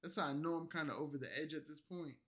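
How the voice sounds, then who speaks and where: A man streaming late at night, tipsy from alcohol and an energy drink, delivering a loud, profane, rambling rant at his chat. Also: on the high side, fairly even intonation, fast. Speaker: streamer reacting to clips.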